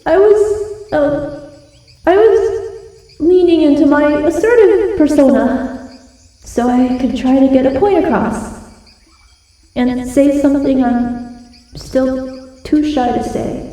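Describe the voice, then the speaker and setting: High, echoing giantess wolf girl, acted by a woman. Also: dark tone. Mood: nervous, earnest. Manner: slow, hesitant, stammering when flustered. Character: shy, flustered giantess wolf girl with a tail, in love. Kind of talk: ASMR roleplay acting. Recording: heavily echoing, distant-sounding voice.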